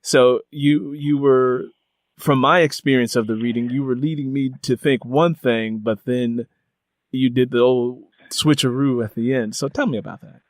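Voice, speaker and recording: A man's voice: mid-range voice, fairly even intonation, normal speed, dry recording. Man interviewing, dry close sound. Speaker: interviewer, host. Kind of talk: conversational interview.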